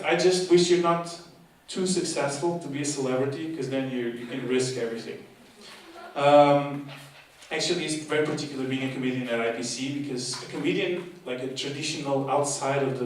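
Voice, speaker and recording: A young man doing a chatty, playful stand-up set, joking with the audience and mocking himself. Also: mid-range voice. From half Portuguese, half Argentinian. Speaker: stand-up comedian and course leader. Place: live comedy show in a hall. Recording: echoey hall from far off, noisy. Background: audience laughter.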